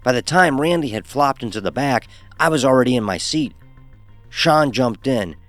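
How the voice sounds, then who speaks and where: Wry male horror narrator, medium pitch. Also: lively intonation, moderate pace, fairly bright tone, no room sound, faint music behind. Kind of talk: first-person horror story narration.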